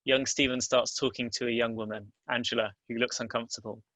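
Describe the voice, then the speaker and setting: A man's voice: mid-range voice, flat delivery, quick pace, sharp tone, no echo. A man reading stage directions as scripted narration at a table read.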